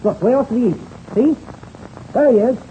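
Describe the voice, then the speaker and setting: An actor playing an earnest, alert young American pilot hero in a 1930s radio serial. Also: male voice, extremely high pitch, a little fast. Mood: eager, alert. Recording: muffled, noisy old-time radio recording.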